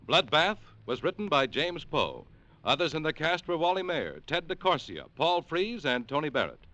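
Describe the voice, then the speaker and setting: A man announcing a 1940s radio program, reading sponsor messages and credits in a crisp, emphatic, formal manner. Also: a little high, very animated, moderate pace, pushed and bright.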